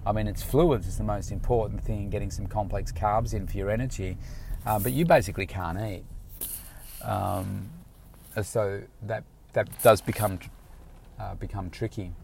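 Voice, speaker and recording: Frank fitness coach, lowish voice. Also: male voice, steady tone, normal speed, vocal fry, a little mellow, slight background noise. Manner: rambling, frank, with many restarts. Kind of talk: casual motivational talk to camera. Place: inside a car.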